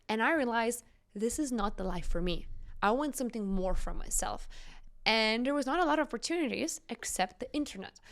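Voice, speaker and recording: A woman in her twenties speaks with a Lithuanian accent in a clear, resonant voice at a medium pitch. She tells her story conversationally as an interview guest, stressing her points in an informal, animated way. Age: 20s. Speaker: entrepreneur guest.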